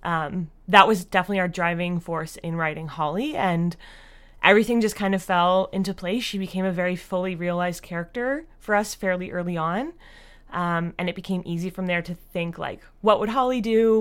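Woman, interview guest, lowish pitch, conversational, hesitant filler-heavy phrasing. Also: brisk. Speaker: interview guest, audio drama creator.

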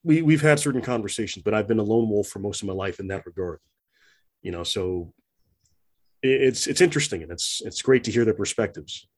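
Low-voiced man, reflective and hesitant. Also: expressive, a little fast, slightly rough. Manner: reflective, hesitant, many fillers and restarts. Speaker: interview guest. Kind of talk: conversational interview.

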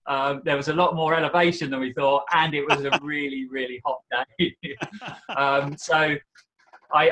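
A man with a slightly croaky voice, a podcast guest chatting casually about trail running, laughing often and filling pauses. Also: fairly high pitch, bright, forceful tone, low-quality recording. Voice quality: laughs often, easygoing, says "um" and "you know" often.

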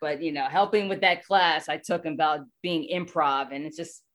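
A woman, a voice actor being interviewed, talking casually and haltingly as she thinks aloud. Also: low voice, steady tone, a bit bright.

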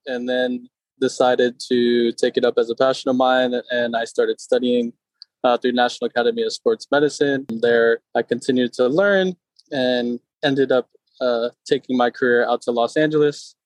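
A man in his thirties from Connecticut, a personal trainer talking casually in an interview, relaxed with many fillers. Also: mid-range voice, normal speed, dry recording, rough recording. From Connecticut, USA. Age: 30s.

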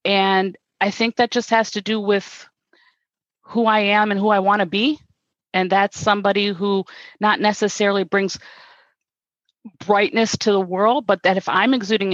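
A woman's voice: mid-range voice, no room sound. Earnest woman, dull remote audio. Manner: earnest, reflective, repeats words when restarting. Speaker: guest. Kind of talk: conversational interview.

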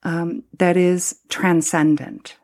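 Low gravelly-voiced woman, thoughtful and halting. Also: steady tone, darkish tone. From Canada. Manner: thoughtful, halting, many fillers and restarts. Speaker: former Anglican priest, guest. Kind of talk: reflective interview conversation.

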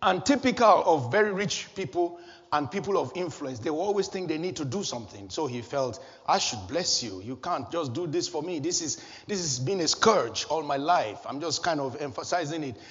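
A man preaching a sermon, animated and chuckling at his own jokes, recorded in a church hall. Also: a little high, moderate pace, husky. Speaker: pastor. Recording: dull echoey hall recording.

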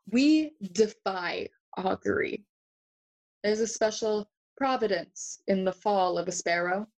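A woman playing a playful, philosophical young prince in a bilingual audio drama, speaking classical verse with a measured, theatrical manner. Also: fairly high pitch, a little mellow, breathy edge. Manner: measured, theatrical, switching between English and Spanish.

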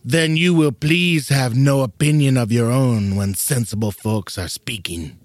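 A man plays a cocky talking animal from a storybook. He is condescending and draws out his words, in a medium-pitched voice recorded very dry. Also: a little slow, no room sound, close to an everyday voice. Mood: haughty. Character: cocky male farm-tale animal, saucy and condescending. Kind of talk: audio storybook acting.

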